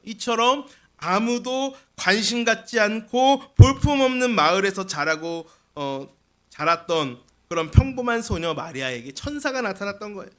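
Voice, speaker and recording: A man's voice: high voice, a bit bright. A man preaching a sermon as a pastor, explaining scripture with rhetorical questions and repeated key phrases.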